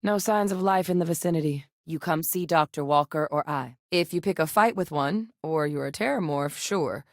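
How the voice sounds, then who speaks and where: A dedicated woman scientist from a science fiction video game. Her voice sits low and is recorded dry and close. She speaks with measured, articulate care and a grave, earnest temper. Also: no room sound. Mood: measured, serious. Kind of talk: video game character dialogue.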